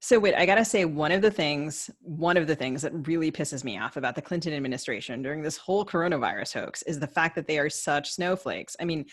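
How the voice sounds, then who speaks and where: A woman's voice: low-pitched, expressive, fast, hardly any pauses. A woman co-hosting a podcast in casual, conversational banter. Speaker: podcast co-host.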